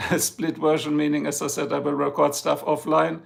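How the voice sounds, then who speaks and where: A man's voice: on the high side, completely flat delivery, moderate pace, vocal fry. An older man from Germany with a German accent, hosting a rambling, jokey live stream chat from home and talking to his viewers by name. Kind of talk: casual chat. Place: home, in Ohio.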